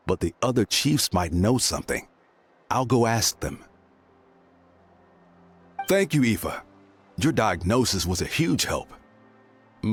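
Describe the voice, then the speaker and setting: Composed adult chief, fairly low voice with expressive swings, considerate, game music underneath. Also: male voice, slightly rough, exaggerated voice. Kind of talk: video game voice acting.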